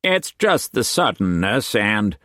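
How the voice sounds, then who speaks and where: Man doing an earnest young suitor, sincere, lively pitch, slow, dry. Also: no echo, heavily acted. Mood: earnest, anxious. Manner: earnest, pleading.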